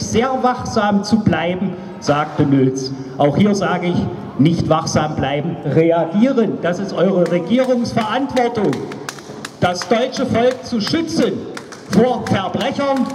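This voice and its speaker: A man in his fifties giving an emphatic, declamatory political speech at a rally, partly read from notes, over a loudspeaker outdoors. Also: high-pitched, a little hiss or hum. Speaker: speaker at a political street rally. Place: outdoors on a cobbled town square at night. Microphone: handheld microphone through loudspeaker, recorded by a far camera. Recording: echoey outdoor PA, far from camera.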